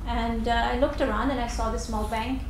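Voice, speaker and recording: A woman from Bangladesh in her forties speaks at a lecture, her voice sitting fairly high. She talks in a chatty, storytelling way, full of restarts and "you know". The sound carries an echoey hall with a faint hum behind it. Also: steady tone, fairly quick. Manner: chatty, warm storytelling with many restarts and fillers. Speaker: guest speaker. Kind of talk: public talk. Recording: echoey room recording.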